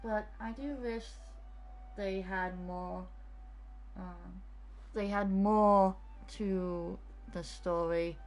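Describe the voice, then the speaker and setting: A woman giving casual, unscripted commentary as a game streamer, in a halting way. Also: mid-range voice, fairly even intonation, darkish tone.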